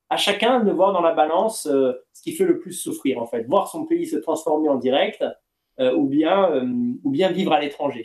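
A man's voice: on the high side, darkish tone. A man from France, speaking candidly and earnestly in a discussion, often hesitating and rephrasing. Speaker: panel guest. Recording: roomy, echoing sound.

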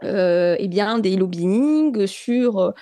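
Earnest, halting woman on dry mic. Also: mid-range voice, animated, mellow, no echo. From France. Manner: earnest, halting, many restarts and fillers. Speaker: interview guest. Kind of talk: radio interview. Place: radio studio.

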